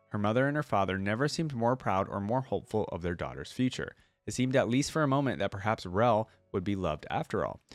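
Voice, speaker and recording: Low-voiced man hosting a reaction video. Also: no room sound. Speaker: YouTube reaction host. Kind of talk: casual reaction commentary mixed with reading lore aloud.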